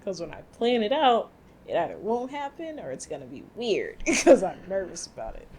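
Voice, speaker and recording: A woman's voice: mid-range voice, big pitch swings, normal speed, darkish tone, slight background noise. A woman co-hosting a podcast, chatting casually with lots of fillers and frequent laughter. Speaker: podcast co-host. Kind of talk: casual chat.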